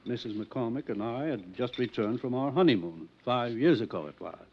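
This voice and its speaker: An actor playing a wealthy, courteous mansion owner in a 1950s radio drama, speaking smoothly and guardedly. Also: male voice, medium pitch, animated, moderate pace. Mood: polite. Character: wealthy polished mansion owner, male, middle-aged. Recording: dull old radio transcription.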